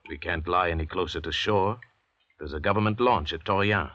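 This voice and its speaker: An actor playing a worldly, educated convict doctor and murderer in an old radio drama, urbane and deliberate, with a condescending manner. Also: male voice, deep voice, a bit bright, dull audio. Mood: calm, patronizing. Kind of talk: 1940s radio drama acting. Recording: vintage radio broadcast.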